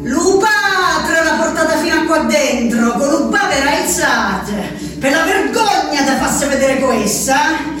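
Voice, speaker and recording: A woman's voice: sits high, expressive, takes it slowly, over music. An actress with an Abruzzo accent playing a woman shut in an asylum, obsessively counting, in a fervent, rambling, repetitive stage monologue, heard from far off on an echoey, noisy stage. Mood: bitter. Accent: Abruzzo Italian.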